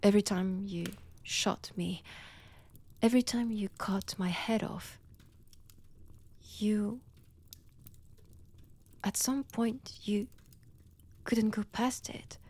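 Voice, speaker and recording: A woman's voice: medium pitch, a little mellow, slightly rough. A woman with a French accent playing a centuries-old vampire, wounded and obsessive, in an intimate roleplay audio, often dropping into whispers. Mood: hurt, disbelieving. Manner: slow, deliberate, theatrical with long pauses.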